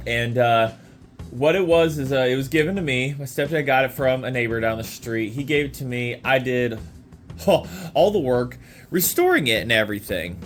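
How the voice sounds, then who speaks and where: A man's voice: medium pitch, expressive, normal speed, faint music behind. A man with a sore throat, his voice a little off, rambling casually in a home garage as he walks through an old motorcycle. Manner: rambling, with many fillers and restarts. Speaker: motorcycle restoration vlogger. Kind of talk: casual walkaround vlog.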